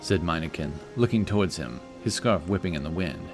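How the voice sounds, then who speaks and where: A man with a very low voice narrates a fantasy tale in scripted audiobook style. Clear music plays behind him throughout. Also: lively intonation, a little fast.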